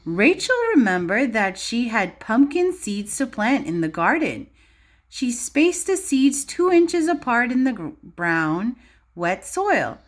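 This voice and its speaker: Female early-years teacher, interactive storytime read-aloud, very lively intonation, unhurried pace, clearly pitched at little kids. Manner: expressive, child-directed, often questioning and explaining. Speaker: preschool or early primary teacher.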